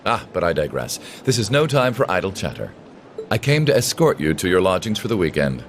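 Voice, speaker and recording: An actor playing a suave, teasing aristocratic gentleman and elder brother in a game cutscene, speaking with courtly, eloquent polish. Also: low voice, big pitch swings, a little fast, a little hiss or hum. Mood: courtly, composed. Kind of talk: video game cutscene acting.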